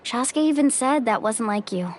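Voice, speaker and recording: An actress playing an easygoing, frank young adventurer in a video game, casual and chatty. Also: female voice, a little high, animated, moderate pace, a little hiss or hum, natural voice. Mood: musing.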